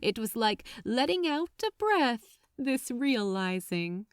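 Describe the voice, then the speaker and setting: A woman's voice: a little high, big pitch swings, slow, clear, resonant tone, no room sound, clean studio sound. A woman reading fiction aloud as an audiobook narrator, with a dramatic storytelling delivery.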